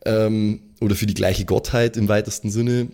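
A man's voice: fairly low pitch, steady tone, normal speed, dark tone. A man chatting casually as a game master on a podcast, colloquial and peppered with English slang. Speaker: game master and player on a role-play podcast. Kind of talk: casual chat.

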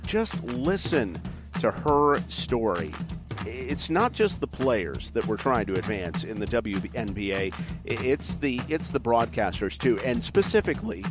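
A man with a mid-range voice hosts a conversational sports interview. He sounds enthusiastic and encouraging toward his guest. Music plays clearly behind him. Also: medium pitch, sing-song, measured pace. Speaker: sports show host.

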